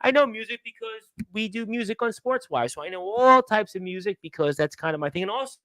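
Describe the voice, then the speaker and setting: Chatty high-voiced New man. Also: lively intonation, a little fast, pushed and bright, no room sound. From Brooklyn, New York. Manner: rambling, chatty, full of uh fillers. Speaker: radio show host. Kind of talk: casual chat with shout-outs to listeners.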